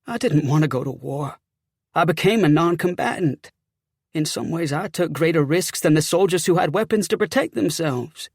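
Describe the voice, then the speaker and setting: Man playing a tormented Southern gentleman, gravelly and formal. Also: on the high side, lively intonation, normal speed, dry recording, studio quality. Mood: indignant, defensive. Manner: formal, eloquent, anguished. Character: tormented genteel young Southern gentleman, wounded, guilt-ridden, defensive. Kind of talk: audiobook character acting.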